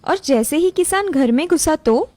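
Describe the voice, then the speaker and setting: A woman's voice: sits high, animated, normal speed, a little mellow, no room sound. A woman narrator reading a scripted moral story for a cartoon.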